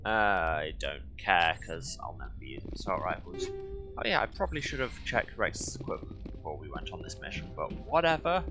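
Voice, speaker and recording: A man's voice: low-pitched, big pitch swings, brisk. A man giving casual, jokey commentary while playing a video game, with wry, rambling asides. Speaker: video game let's player. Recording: game music behind the voice. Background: video game music.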